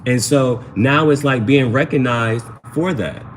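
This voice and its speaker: A man reads tarot live in a casual, slangy way. He repeats his phrases as he goes and talks straight to his viewers. His voice is mid-pitched, with steady noise behind it. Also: a little slow. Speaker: tarot reader, livestream host. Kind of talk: casual live tarot reading, talking to chat.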